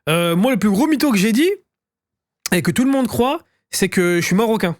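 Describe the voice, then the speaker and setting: A man in his thirties from France, co-hosting a podcast in loud joking banter, provocative and teasing, swearing a lot. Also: high voice, lively intonation, moderate pace, dry recording, clean studio sound. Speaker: podcast co-host.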